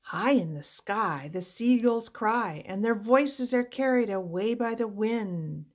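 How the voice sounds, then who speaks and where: A woman reading a children's picture book aloud with deliberate, expressive phrasing for young listeners. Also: on the high side. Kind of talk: read-aloud children's storybook narration.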